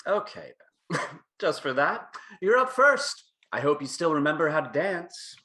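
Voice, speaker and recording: Actor doing a fussy sardonic dance coach, theatrical, pitch goes all over the place. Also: big pitch swings, measured pace, sharp tone. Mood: sardonic, haughty. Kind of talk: screenplay table read acting.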